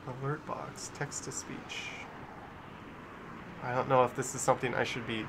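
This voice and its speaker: A man's voice: medium pitch, flat delivery, moderate pace. Casual male livestreamer with faint background noise. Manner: chatty, informal, reads out viewer messages. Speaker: livestream host. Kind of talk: casual live commentary and chat reading.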